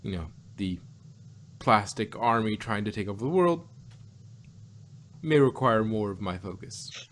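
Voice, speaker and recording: A man's voice: on the low side, unhurried, pauses often, a bit bright, slight background noise. A man co-hosting a podcast, casually reviewing an audio drama with a rambling, laugh-filled way of talking. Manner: rambling, laughs often, restarts and hedges mid-thought.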